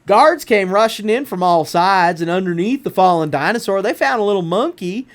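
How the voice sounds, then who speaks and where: A man narrates a picture book for children in a Southern US accent. His delivery is expressive and theatrical, leaning deliberately on key words. The pitch rises and falls in wide, lively swings. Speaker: storybook narrator. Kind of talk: expressive children's read-aloud.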